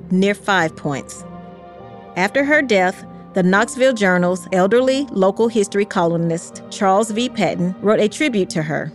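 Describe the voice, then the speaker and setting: A woman reading scripted history narration in a measured, carefully articulated storytelling way. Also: mid-range voice. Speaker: podcast narrator.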